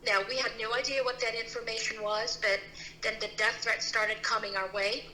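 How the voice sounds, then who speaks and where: A woman's voice: a little high, little pitch movement, normal speed, pushed and bright, faint music behind. A middle-aged woman from the Philippines, a guest telling her personal story in an earnest, casual way with many restarts. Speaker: guest, CEO and founder. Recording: roomy with faint noise.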